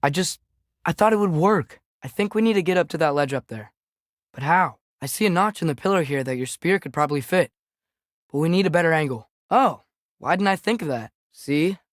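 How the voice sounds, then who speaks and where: A young male actor playing an earnest, impulsive teenage boy archer in a video game, expressive and youthful. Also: a little high, a little fast, no echo, studio quality, close to an everyday voice. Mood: eager, unsure. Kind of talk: video game character dialogue.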